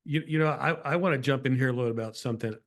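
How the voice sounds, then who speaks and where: A man's voice: medium pitch, racing. A man hosting a political interview in a conversational way, earnest and opinionated as he shares his views. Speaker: show host.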